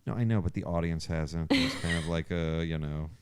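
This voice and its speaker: A man with a low, smooth voice and some gravelly fry hosts a casual podcast chat. His intonation swings very widely. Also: sing-song, moderate pace. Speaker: podcast interviewer. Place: living room.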